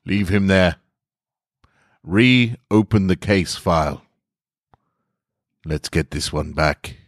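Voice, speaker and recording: A man's voice: sits low, slow pace, no room sound, clean studio sound. A man reading the part of a grave, commanding archangel in an audiobook-style story, solemn and authoritative. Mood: firm resolve.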